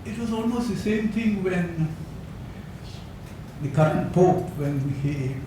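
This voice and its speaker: An older man lectures at a slow, unhurried pace, pausing often on fillers and breaking into small chuckles. His voice comes from a distance in a very echoey hall with a steady noisy rumble behind it. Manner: hesitant, many fillers, chuckles. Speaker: academic speaker. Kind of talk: conference talk.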